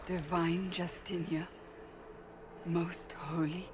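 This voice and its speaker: A woman playing a devout, guarded, stern warrior in a fantasy game, speaking earnestly and haltingly. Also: on the low side, level tone, background noise. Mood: awed, wary. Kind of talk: dramatic fantasy game acting.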